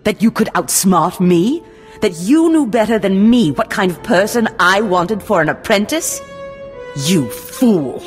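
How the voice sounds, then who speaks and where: A woman's voice: medium pitch, expressive, a little slow, music in the background, natural voice. A woman playing a poised, menacing, condescending Sith lord in a video game, with a theatrical, mock-sweet manner. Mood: scornful anger. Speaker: villainous mentor.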